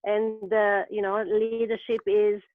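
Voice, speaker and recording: A woman's voice: medium pitch, level tone, takes it slowly, dry recording, phone-quality sound. A woman from France with a French accent, speaking reflectively with many fillers in a webinar. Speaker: program co-founder presenting. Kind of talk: webinar talk. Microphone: video call.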